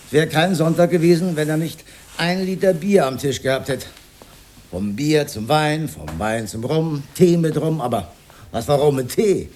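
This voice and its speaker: An actor playing a rambling, pedantic old socialist freethinker with an Austrian accent, in a theatrical radio drama style. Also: male voice, medium pitch, darkish tone, slight background noise, lightly acted. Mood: bitter reminiscence. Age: older. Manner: rhetorical, declamatory, given to rambling reminiscence.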